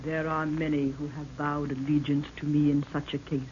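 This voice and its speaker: A woman's voice: low voice, little pitch movement, moderate pace, darkish tone, lightly acted. Woman playing solemn regal African queen, archaic declamation, old radio serial, muffled sound, background hiss. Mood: grave, foreboding. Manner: archaic, stately, declamatory speech.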